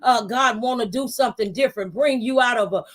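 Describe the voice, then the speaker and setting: A middle-aged woman with a fairly high voice speaks like a conversational preacher. She is emphatic and exhorting, driving her encouragement home with repeated phrases. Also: brisk. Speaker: talk show panelist giving testimony. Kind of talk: motivational faith testimony, preaching conversationally.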